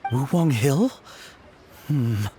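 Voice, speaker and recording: A man's voice: medium pitch, expressive, very slow, dark tone, soft background music. A man voicing a gloomy, hesitant young man in a video game, subdued and worried. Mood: dejected. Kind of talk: video game character acting.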